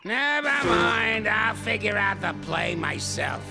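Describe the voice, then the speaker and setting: An actor playing a grumpy, bossy elderly male ape in a cartoon series, scolding and calling others names with a creaky, gravelly old voice. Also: high voice, very animated, slow, heavily acted. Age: older. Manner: grumbling, scolding, name-calling. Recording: music loud behind the voice.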